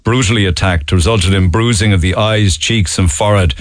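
A man's voice: low-pitched, a little fast, no room sound. A man hosting a radio phone-in, asking the caller probing questions. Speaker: radio host.

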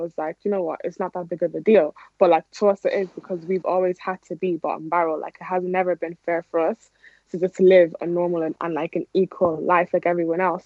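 A woman's voice: lowish voice, no echo. A young woman with an Irish accent giving informal, conversational interview answers peppered with "like". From Ireland. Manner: informal, frequent "like". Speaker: interview guest, athlete. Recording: dull, lo-fi audio.

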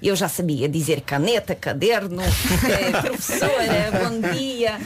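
A woman's voice: medium pitch, slow, over a noisy crowd. A talkative woman in her thirties from Ukraine, with a Ukrainian accent, chatting as a guest in a radio studio. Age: 30s. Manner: lively, talkative, laughs often. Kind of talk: radio interview chat.

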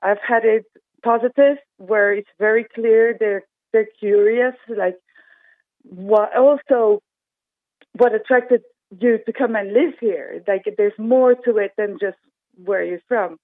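A woman calling in to a radio phone-in, coming through a tinny, narrow phone line. The sound is dry. She speaks in a measured, thoughtful way. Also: medium pitch, no room sound. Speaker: caller.

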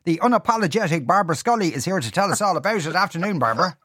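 Lively Irish man hosting radio. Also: sits high, husky, dry recording, studio quality. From Ireland. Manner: halting, restarts sentences. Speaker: radio show host. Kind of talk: radio talk show hosting. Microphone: studio mic.

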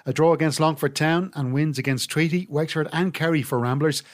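A man's voice: fairly high pitch, a little fast. A man with an Irish accent hosting a football podcast, reading a scripted round-up of fixtures and results. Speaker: podcast host. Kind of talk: scripted sports round-up narration.

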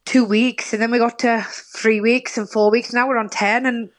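A woman's voice: on the high side, flat delivery, moderate pace, poor audio. Welsh-accented woman, chatty. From Lincolnshire, England. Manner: chatty, many ums, laughs easily. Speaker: radio interview guest. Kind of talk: casual phone-in chat.

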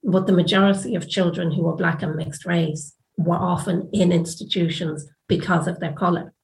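Low, creaky-voiced Irish woman, reflective. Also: a little mellow, rough recording. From Ireland. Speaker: academic researcher and interviewee. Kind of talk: measured personal testimony in a documentary interview.

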